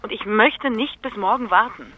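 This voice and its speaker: An actress playing a worried, indignant wife with a husky edge, insisting on being heard in a radio crime drama. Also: female voice, fairly high pitch, normal speed, phone-quality sound, natural voice. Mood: worried, insistent. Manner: insistent, affronted.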